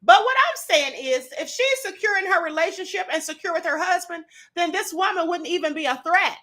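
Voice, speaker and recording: Host, woman, sassy video commentator; high, lively, bright voice. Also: brisk. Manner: sassy, animated, laughs often. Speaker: show host. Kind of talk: commentary reacting to viral videos.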